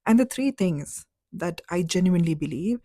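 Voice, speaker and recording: A woman's voice: fairly low pitch, lively intonation, moderate pace, dark tone, dry recording, clean studio sound. A woman in her thirties from Dubai, telling her personal story in a candid, reflective, conversational way. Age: 30s. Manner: candid, reflective, conversational with fillers like "like" and "you know". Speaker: vlogger.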